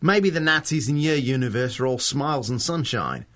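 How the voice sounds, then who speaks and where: An actor playing a brash, frantic, wisecracking wartime hero in a 1930s-style radio adventure. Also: male voice, mid-range voice, lively intonation, moderate pace, a bit bright, natural voice. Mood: sarcastic. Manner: wisecracking, slangy, sarcastic.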